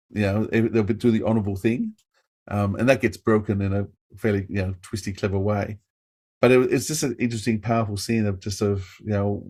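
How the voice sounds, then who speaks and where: A man's voice: on the low side, a little mellow. A man hosting a podcast, talking casually as a fan and interviewer. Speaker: podcast host.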